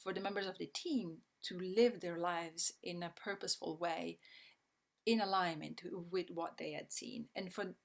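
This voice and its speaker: A woman with an Italian accent and a slightly low voice talks through her ideas slowly and thoughtfully. She pauses often and fills the gaps with ums, and she restarts sentences as she searches for words. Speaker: guest, systems thinking educator. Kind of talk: reflective interview answers.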